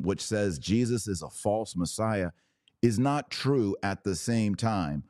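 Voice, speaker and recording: A man's voice: low voice, darkish tone, no room sound, studio quality. A man hosting a religious podcast, giving emphatic, dogmatic commentary in short punchy phrases with rhetorical questions. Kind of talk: opinionated religious commentary, talking to listeners.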